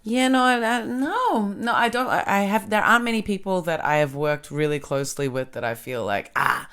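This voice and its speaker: A woman with a low, husky voice hosting a casual podcast chat, frank and quick to laugh. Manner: frank, animated, laughs easily. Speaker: podcast host, comedian. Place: comedy club.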